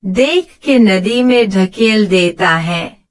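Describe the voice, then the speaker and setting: A woman's voice: medium pitch, animated, slow. A woman giving scripted narration for a children's moral story.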